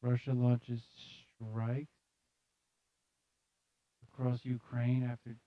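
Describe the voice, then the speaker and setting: A man's voice: medium pitch, monotone, drawn-out words, dry recording, highs cut off. A man hosting a casual comedic news show, reading headlines aloud and riffing on them with sarcastic, mock-serious repetition. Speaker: host of a live news commentary show. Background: music playing behind the voice.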